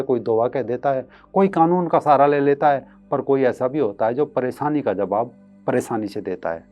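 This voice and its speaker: A man's voice: medium pitch, darkish tone, lo-fi sound. A man hosting an online commentary show, reading a scripted piece with emphatic, rhetorical delivery.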